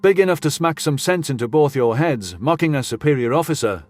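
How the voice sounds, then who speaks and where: A man's voice: on the high side, expressive, fairly quick, darkish tone, no echo, soft background music, close to an everyday voice. A man voicing a brash, indignant male commanding officer with blunt, slangy, sarcastic speech in a sci-fi audio story.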